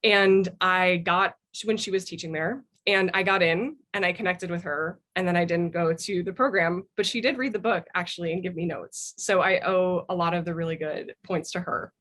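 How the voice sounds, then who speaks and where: A woman's voice: on the low side, normal speed, hardly any pauses, a bit bright. A woman speaking as a guest author, giving thoughtful answers in a book interview.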